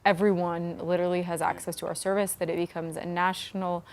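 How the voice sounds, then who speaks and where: Woman, lowish voice, conversational, explanatory, informal, interviewee. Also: level tone, fairly quick. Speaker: startup founder being interviewed.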